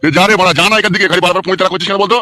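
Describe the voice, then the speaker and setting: A man's voice: high-pitched, flat delivery, very fast, sharp tone, slight background noise. A man voicing a bossy, foul-mouthed village politician in a comic cartoon, coarse and hectoring, with a rural West Bengal accent.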